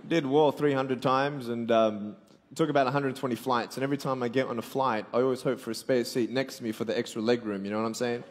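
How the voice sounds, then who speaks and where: A man's voice: mid-range voice, steady tone, fast, a little hiss or hum. A man in his twenties with an Australian accent, giving a joking, motivational sermon to a live audience and calling for responses. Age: 20s. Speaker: evangelist speaker. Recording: long hall reverb.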